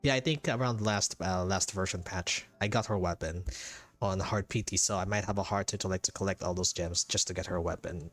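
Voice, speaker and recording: A man with a fairly low voice chats casually as a game streamer. He talks in a halting way and often repeats a phrase to stress it. Faint music plays behind him. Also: fairly bright tone. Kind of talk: casual livestream commentary and reaction.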